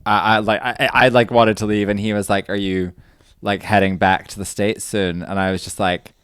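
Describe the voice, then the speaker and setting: Young Scottish male comedian, smooth resonant voice, self-mocking casual chat, close dry mic. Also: lowish voice, flat delivery, normal speed, a bit bright, no echo. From Scotland. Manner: self-deprecating, laughs often. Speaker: comedian guest.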